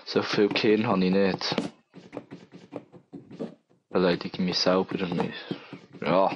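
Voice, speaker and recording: A young man with a Bernese Swiss German accent and a somewhat low voice talks through a game session. He rambles and mocks himself, sounding a bit congested from a cold. A clear background hiss runs under the home recording. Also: little pitch movement, slow, highs cut off. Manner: rambling, self-mocking, thinking aloud. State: has a cold. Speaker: let's play gamer. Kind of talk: casual gameplay commentary.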